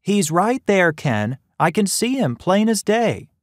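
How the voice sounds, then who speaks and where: Casting: man as forgetful elderly mom, raised pitch, fretful and insistent, audiobook dialogue, dry studio. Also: big pitch swings, slow, no room sound, heavily acted. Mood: nervous, insistent. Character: anxious, insistent eighty-five-year-old mother, forgetful and fretful.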